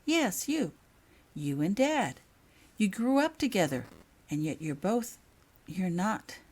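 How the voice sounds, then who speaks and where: A woman voicing a timid, curious young schoolgirl with a hesitant, halting manner in a storybook reading. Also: mid-range voice, sing-song, a little mellow, husky, heavily acted. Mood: earnest, probing.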